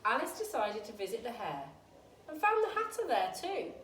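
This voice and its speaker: A woman narrates a storybook for young children with lively, swooping intonation. Her voice sits fairly high. She is recorded at a distance in a roomy space. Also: normal speed. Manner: animated, dramatic reading. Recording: roomy, distant mic with faint noise.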